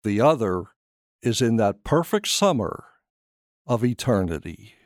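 A man narrates a short story at a slow, deliberate pace. His intonation moves freely and expressively, and the recording is close and dry. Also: on the low side, very animated, no echo. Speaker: author reading his own short story.

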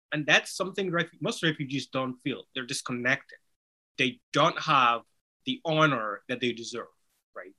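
A man's voice: a little high, bright, forceful tone. Earnest man. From Somalia. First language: Somali. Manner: earnest, persuasive, rhetorical "right?" tags. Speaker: author and interview guest. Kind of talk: conversational interview answer.

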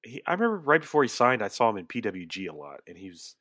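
A man's voice: fairly low pitch, sing-song, fast talker, fairly bright tone, no echo, studio quality. A man co-hosting a podcast, sounding nasal and sniffly from allergies, easygoing and agreeable. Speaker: podcast co-host. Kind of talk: casual chat.

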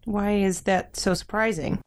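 An actress playing a shy, kind, earnest young sister in a modern audio drama, speaking gently. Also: female voice, medium pitch, steady tone, a little slow, natural voice. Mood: casual, shy.

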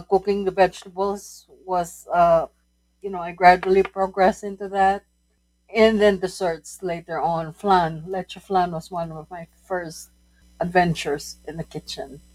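A woman talks as a podcast guest. Her voice sits a little low. She speaks haltingly, with frequent fillers and restarts. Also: a little mellow, poor audio. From Philippines. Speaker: guest, food writer and author. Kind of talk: casual interview.

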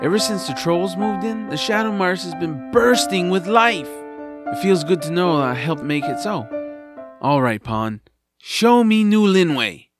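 A man voicing an eager, earnest young boy hero with an excitable manner in a family fantasy audio drama. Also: high-pitched, unhurried, music in the background. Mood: cheerful, musing.

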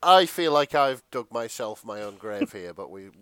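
A man's voice: fairly low pitch, sing-song, normal speed, crowd noise. A man co-hosting a podcast, chatting casually with joking asides. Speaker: podcast co-host. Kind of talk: casual comic banter.

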